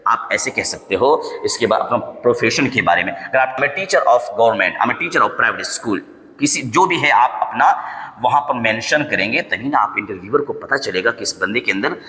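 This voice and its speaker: A man's voice: mid-range voice, lively intonation, pushed and bright. Indian man teaching in an echoey room. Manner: repeats example phrases slowly, often checks understanding with short tag questions. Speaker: spoken English teacher. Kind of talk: instructional lesson to camera, switching between explanation and example sentences. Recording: echoey room with long reverb.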